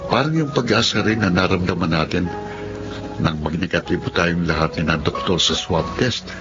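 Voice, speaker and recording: A loyal male clinic assistant, played by an actor in a Filipino radio drama, earnest and respectful. His voice is on the low side, in dull sound with music behind it. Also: normal speed, natural voice.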